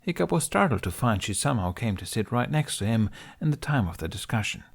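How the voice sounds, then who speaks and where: A man's voice: medium pitch, big pitch swings, brisk, vocal fry. A man narrating an audiobook, reading scripted fiction as the storyteller.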